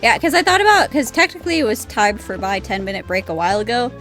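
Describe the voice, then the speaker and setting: A woman's voice: fairly high pitch, big pitch swings, quick pace, fairly bright tone, faint music behind. Young woman streaming, casual and candid. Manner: candid, sweary. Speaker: live streamer. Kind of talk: casual game stream commentary.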